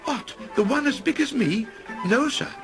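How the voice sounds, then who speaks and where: A man voices an eager street boy in a storybook, chirpy and piping. The voice is pushed very high, far above his own. Music plays behind. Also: animated, normal speed.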